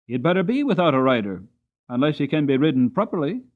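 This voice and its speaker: Man as a frank, folksy groom, highish voice. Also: big pitch swings, fast, narrow, tinny audio. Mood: frank indignation. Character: plain-spoken horse-loving groom, indignant and drily amused.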